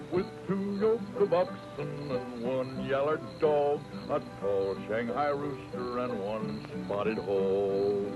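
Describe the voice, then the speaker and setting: An actor playing a frontier balladeer, singing a folk ballad to guitar in an old radio western. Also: male voice, medium pitch, highs cut off.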